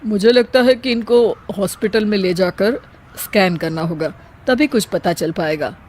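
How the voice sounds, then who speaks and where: A woman's voice: mid-range voice, moderate pace, darkish tone, slight background noise, natural voice. A woman voicing concerned, earnest men, a husband and a doctor, in a cartoon story. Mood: serious.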